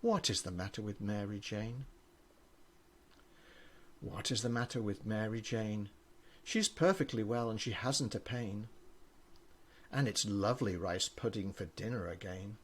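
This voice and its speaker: An older man, a grandfather, reading children's poems aloud with an expressive storybook delivery. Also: fairly low pitch, a little slow, pauses often, slightly rough.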